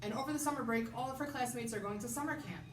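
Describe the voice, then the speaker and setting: A woman's voice: on the high side, very fast. A woman, a children's librarian, giving scripted book recommendations. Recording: roomy, distant mic, noisy background.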